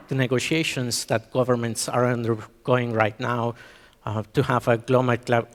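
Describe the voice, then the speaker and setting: A man from Mexico speaks at a conference in a mid-pitched voice, pausing often with ums and restarting his sentences. The sound carries a long reverb from the hall around him. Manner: hesitant, many ums and restarts. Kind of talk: conference talk.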